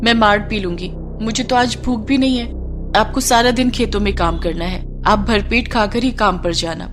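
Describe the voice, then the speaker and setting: Woman acting poor village mother, gentle, resigned, self-sacrificing, moral story, music behind. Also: mid-range voice, moderate pace, lightly acted.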